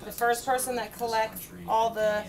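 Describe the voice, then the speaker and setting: A woman's voice: fairly high pitch, steady tone, normal speed, vocal fry, a crowd in the background. A woman in her thirties, a mother at a kitchen table at home, patiently walking her young daughter through a board game, reading the rules aloud and counting the moves.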